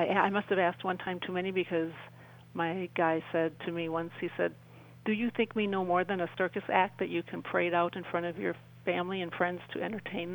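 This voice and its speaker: An older woman, around her sixties, talking as an interview guest in a halting, rambling way and often rephrasing mid-sentence. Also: on the low side, poor audio. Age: older, about 60s. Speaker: interview guest, UFO experiencer. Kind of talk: conversational radio interview storytelling.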